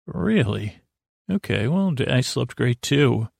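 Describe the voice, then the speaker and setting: A man hosting a sleep podcast, rambling in a halting, meandering way with self-deprecating, mildly silly wordplay. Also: mid-range voice, sing-song, creaky, a little mellow, slightly rough, no room sound. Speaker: podcast host. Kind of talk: rambling bedtime monologue, loose storytelling.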